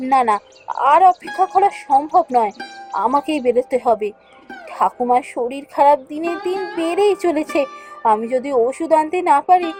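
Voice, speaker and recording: A woman's voice: high voice, darkish tone. A woman voicing a kind, worried young village girl in a children's fairy tale cartoon.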